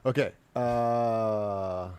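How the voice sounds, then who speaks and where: A man's voice: lowish voice, extremely slow, clean tone. A man from California with a Californian accent, a musician chatting casually and playfully while mixing on a live stream. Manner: relaxed, playful, joking.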